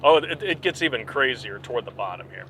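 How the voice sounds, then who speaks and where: A man's voice: medium pitch, expressive, fast, pushed and bright, slight background noise. A man co-hosting a casual comedy podcast, reading out a news story and riffing on it with laughs. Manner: chatty, laughs often, riffs on stories. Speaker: podcast co-host.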